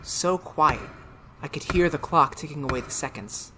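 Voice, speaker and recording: A woman's voice: sits low, moderate pace, lightly acted. A woman playing a tormented, unravelling murderer in a retro radio horror drama, speaking in feverish, melodramatic broken phrases. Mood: hushed dread. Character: tormented murderer Daryl, haunted, unravelling, insisting on sanity. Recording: noisy radio drama recording.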